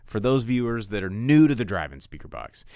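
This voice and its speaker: An American man with a fairly deep, resonant voice hosts a live film show. He chats loosely with viewers and rants with animated conviction, repeating words for emphasis. The sound is dry, with very little room in it. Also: a little fast, no room sound. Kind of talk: casual livestream chat with viewers.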